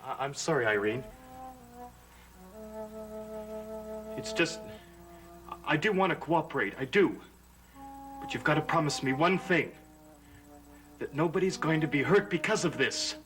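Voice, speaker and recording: A troubled young man speaks in a fairly high voice in an earnest, hesitant way. It sounds like 1960s soap opera acting, with music playing behind him on an old TV soundtrack. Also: animated, normal speed, pauses often, a bit bright. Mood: pleading.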